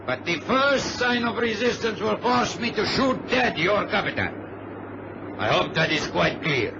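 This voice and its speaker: A man's voice: sits high, big pitch swings, unhurried, creaky, full character voice. A man playing a polite but menacing hijacker in a radio drama. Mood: polite menace. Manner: courteous, coolly threatening. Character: polite, menacing Latin male hijacker with a gun. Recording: noisy, dull vintage radio recording.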